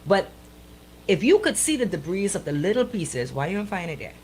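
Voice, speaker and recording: An animated woman bantering as a radio co-host, with a pitch that swings widely. She is emphatic and opinionated, hammering points home by repeating them in colloquial phrasing. There is slight noise behind her voice. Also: fairly quick. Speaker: radio talk show co-host.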